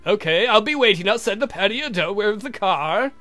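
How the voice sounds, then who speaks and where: A man's voice: sits high, expressive, moderate pace, bright, forceful tone, faint music behind. A man voicing a clumsy, flirtatious vampire count from a game, grumbling and swearing theatrically. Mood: suave flirting.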